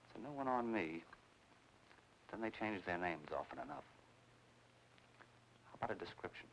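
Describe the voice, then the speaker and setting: A low-voiced, cynical private detective. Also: male voice, lively intonation, moderate pace, gravelly, a bit bright, slight background noise, heavily acted, poor audio. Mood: cynical, wry. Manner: matter-of-fact, wry, hard-boiled. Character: cynical, seasoned male private detective, former magician. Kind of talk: mid-century film noir acting.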